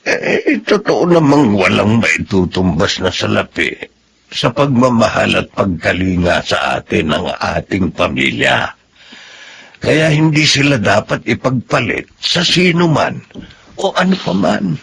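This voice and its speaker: A man's voice: on the low side, big pitch swings, measured pace, vocal fry, fairly bright tone, a little hiss or hum, exaggerated voice. A Filipino man acting a kindly, folksy middle-aged landlord in a Tagalog radio drama. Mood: reflective. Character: kindly older male landlord and confidant.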